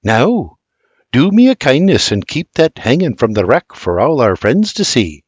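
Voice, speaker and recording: Man voicing a farm mother, motherly, tender, middle-aged, lively pitch, dry close recording. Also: a little slow, slightly rough, no echo.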